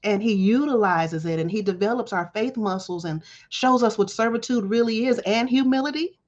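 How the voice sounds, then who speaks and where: A woman's voice: on the high side, normal speed, darkish tone, muffled recording. A woman in her forties, a college dean from Texas, giving reflective testimony in an interview with emphatic, preachy phrasing. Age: 40s.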